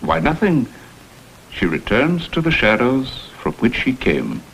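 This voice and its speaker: An actor playing a smooth, insinuating blackmailer who poses as a humble go-between, with florid, theatrical phrasing, in a vintage crime drama. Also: male voice, medium pitch, huge pitch swings, measured pace, fairly bright tone, exaggerated voice, poor audio. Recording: vintage TV soundtrack, faint noise.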